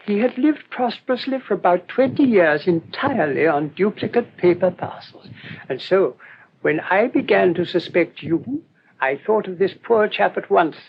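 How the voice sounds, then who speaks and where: Mild British priest, dull noisy recording. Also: male voice, sits high, expressive, moderate pace, gravelly. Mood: mildly explanatory. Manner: gently apologetic, rambling, quietly confident. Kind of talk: old-time radio drama acting.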